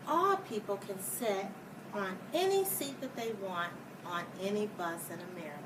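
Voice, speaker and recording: A woman reading a children's picture book aloud like a schoolteacher, deliberately, with explanatory asides for young listeners. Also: a little high. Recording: distant mic, clear background noise.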